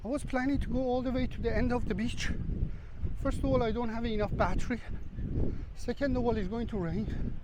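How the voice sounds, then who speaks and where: A man's voice: very high-pitched, fairly even intonation, a little fast, pauses often. A man talking casually to his viewers in broken English while walking a beach with a handheld camera. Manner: chatty, non-native English with broken grammar. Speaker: travel vlogger. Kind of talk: casual walking vlog chat. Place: outdoors on a windy beach. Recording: wind noise on the mic outdoors. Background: wind and outdoor ambience.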